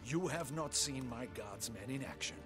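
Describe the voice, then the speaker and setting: An actor playing a proud, blustering captain of the guard in a video game, with a formal, pompous and scornful manner. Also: male voice, medium pitch, lively intonation, normal speed, music in the background, close to an everyday voice. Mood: boastful, indignant. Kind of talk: video game cutscene acting.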